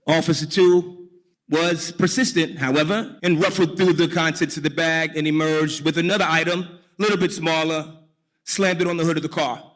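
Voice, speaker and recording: A man from New York telling a personal story on stage, deliberately and wryly, with dramatic pauses. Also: fairly high pitch, steady tone, a bit bright. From New York, United States.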